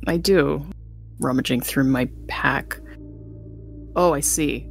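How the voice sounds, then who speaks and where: A woman plays a tabletop roleplaying game and talks casually. Her voice sits very low and has a lot of vocal fry. Faint music runs behind her. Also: takes it slowly. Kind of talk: casual chat in play.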